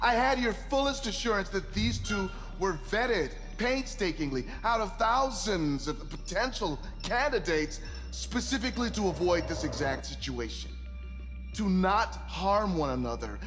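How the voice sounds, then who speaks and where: An actor playing an arrogant, irritable billionaire mission backer in a video game, sarcastic, profane and demanding. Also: male voice, sits high, lively intonation, unhurried, a bit bright. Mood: outraged. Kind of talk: cinematic video game acting. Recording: reverberant hall, music underneath.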